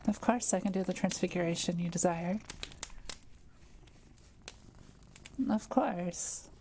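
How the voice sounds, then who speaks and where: Kind witch for audio roleplay, female performer: relaxed, crackly low-leaning voice, gentle and thoughtful, quietly proud of her craft. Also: moderate pace, close to an everyday voice. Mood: proudly confident. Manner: gentle, hesitant, explanatory musing with small hums. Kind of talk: intimate audio roleplay addressed to the listener.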